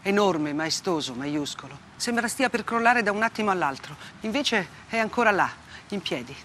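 A woman's voice: mid-range voice, expressive, a bit bright, a little hiss or hum, lightly acted. Weary, defiant woman journalist, played by an actress. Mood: grave, descriptive. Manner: deliberate, clipped short phrases with emphatic repetition. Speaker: actress in a theatrical monologue. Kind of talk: dramatic theatre monologue, first-person testimony.

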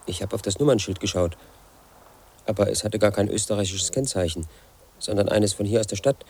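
A brooding, quietly resentful man in his thirties recounts his story for a radio play. His voice sits low and husky. He talks in a flat, insistent way, as if nothing could be his fault. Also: fairly quick. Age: 30s. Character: obsessive, aggrieved man brooding over his ex. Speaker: narrator of his own story. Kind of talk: 1980s radio play monologue.

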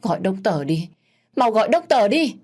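A woman voicing a desperate mother who is the second wife of a landowner, pleading in a shrill voice near tears. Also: fast, close to an everyday voice. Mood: frantic pleading.

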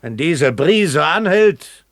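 An actor playing a sly, boisterous one-legged pirate quartermaster who curses and wheedles, in a radio play. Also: male voice, on the high side, lively intonation, takes it slowly, no room sound, clean studio sound. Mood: gruff, driving. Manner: cursing, wheedling sailor talk. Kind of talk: 1970s German radio play acting.

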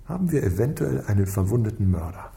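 An actor playing an impatient, sarcastic police inspector in a radio crime drama, brusque and commanding. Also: male voice, fairly low pitch, big pitch swings, fast, creaky, dark tone. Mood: brisk, impatient. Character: impatient, sarcastic male police inspector leading a murder case.

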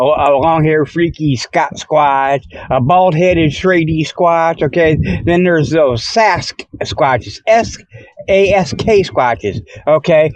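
Folksy rambling man, slightly high voice. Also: a little mellow, dull audio. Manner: folksy, easily sidetracked, repeats "okay" and "you know". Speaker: host of a comic Sasquatch report show. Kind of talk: rambling folksy comic monologue. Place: outdoors by a river.

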